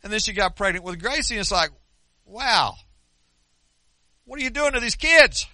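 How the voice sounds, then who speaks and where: Older American male pastor, high and sharp-toned, earnest plain-spoken sermon delivery, dry close sound. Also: lively intonation, normal speed, no echo. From United States. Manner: earnest, conversational preaching with many restarts and asides.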